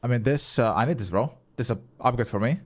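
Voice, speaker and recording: A young man streaming a game, with Croatian as his first language. He chats loosely with his viewers, answers their questions as he plays, and swears casually. His voice sits at an ordinary middle pitch for a man. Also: quick pace. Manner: chatty, reads and answers chat, swears casually. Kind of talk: casual live-stream chat while gaming.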